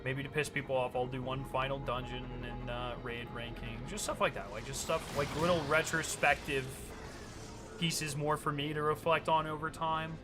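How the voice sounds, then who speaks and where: A man's voice: mid-range voice, steady tone, normal speed, music in the background. A man in his late twenties chatting casually on a live stream, rambling and swearing as he reads and answers chat. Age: late 20s.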